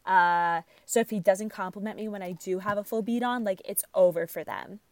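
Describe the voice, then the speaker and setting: A young woman co-hosting a casual chat, giggly and teasing, quick to play along with jokes. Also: mid-range voice, no room sound. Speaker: podcast co-host.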